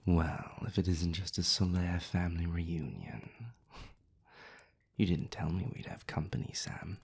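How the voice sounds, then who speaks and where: Brief: man voicing a sardonic vampire aristocrat, deep, gravelly, slow, half-whispered barbs. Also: steady tone, heavily acted. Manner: dry, mocking, formally polite. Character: haughty sardonic male vampire, old rival. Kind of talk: audio roleplay acting.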